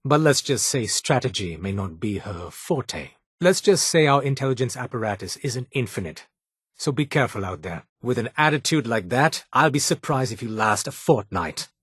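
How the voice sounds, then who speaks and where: A fussy, sardonic male resistance intelligence officer from a video game, with a slightly rough, husky voice. He speaks formally and condescendingly in clipped phrases, his pitch swinging with dry sarcasm. The sound is close and dry. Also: animated, normal speed, a bit bright, dry recording. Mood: dryly disdainful. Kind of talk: video game character dialogue.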